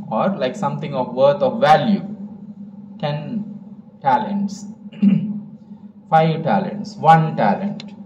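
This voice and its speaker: Slow-talking priest in a roomy chapel. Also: male voice, mid-range voice. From India. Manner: conversational, with many fillers like "uh" and "like". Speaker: priest giving a homily. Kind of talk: sermon. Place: chapel with stage curtains. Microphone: stand mic on a gooseneck at the chest. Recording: roomy, distant and dull, with background hiss.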